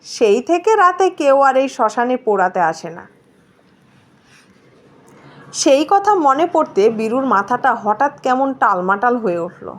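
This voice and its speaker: A woman's voice: high-pitched, very animated, unhurried, long pauses. A woman reading a ghost story aloud as a dramatic scripted narration. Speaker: story reader.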